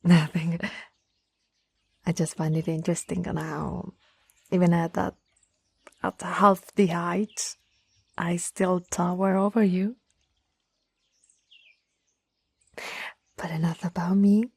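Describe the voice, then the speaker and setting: Casting: actress as caring, playful young woman for ASMR roleplay; soft husky breathiness, unhurried delivery, dry close sound. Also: fairly low pitch, level tone, dry recording, exaggerated voice. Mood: playful, gentle. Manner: slow, playful, gently coaxing. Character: tall, teasing, caring young woman, former childhood friend.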